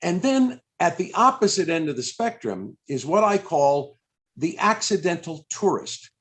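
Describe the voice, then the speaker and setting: A man with a somewhat high-pitched voice interviews someone over a video call. He speaks conversationally and builds long questions, often halting and circling back as he thinks aloud. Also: big pitch swings, fairly bright tone. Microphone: video call microphone.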